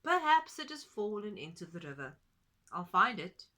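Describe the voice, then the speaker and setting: A polite wild duck speaks firmly and kindly, as a woman puts him on. His voice is bright and clear, a touch loud. It is a children's storybook read-aloud. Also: mid-range voice, very animated, normal speed. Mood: caring. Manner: polite, firm.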